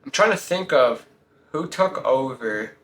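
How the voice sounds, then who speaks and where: A man's voice: mid-range voice, animated, unhurried, a bit bright, roomy sound. A man hosting a casual sports talk show, giving blunt, slangy opinions and firing off rhetorical questions.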